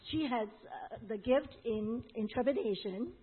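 A woman with a Hong Kong accent interprets a sermon, passing each phrase along with small fillers. Her voice is husky and somewhat high. The audio sounds thin, like a phone. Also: phone-quality sound. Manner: relays each phrase quickly, with fillers and restarts.

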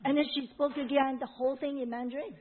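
A woman's voice: high voice, fairly even intonation, fast. A woman with a Hong Kong accent interpreting a sermon, relaying each phrase with fillers and restarts. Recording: tinny phone-like sound.

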